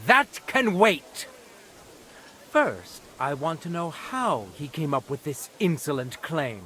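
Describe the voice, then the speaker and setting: An actor playing a stern, shrewd medieval nobleman in judgment, speaking in a measured, imperious and dryly sardonic way for a video game. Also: on the high side, very animated, pushed and bright, a little hiss or hum, exaggerated voice. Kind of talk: dramatic video game acting.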